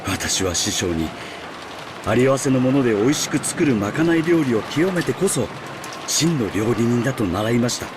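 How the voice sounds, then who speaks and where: Guy playing a proud friendly cook in a visual novel, polite n sure of himself, talks fast, rainy background. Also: mid-range voice, expressive, natural voice. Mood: proud, reassuring. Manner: polite, self-assured. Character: proud, genial male mansion cook. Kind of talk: Japanese visual novel voice acting. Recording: rain noise behind dialogue. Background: rain.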